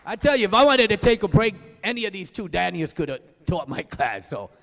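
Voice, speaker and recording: A man with a Spanish accent, an auctioneering instructor giving a lively, joking graduation speech and calling out names. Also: high voice, fairly quick, vocal fry. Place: large hall. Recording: live hall, long reverb.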